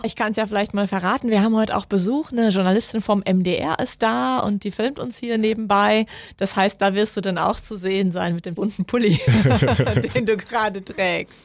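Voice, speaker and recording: A woman hosting a podcast interview in a studio, speaking clearly and deliberately for language learners. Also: medium pitch, fairly even intonation, dry recording. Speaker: podcast host and integration course teacher.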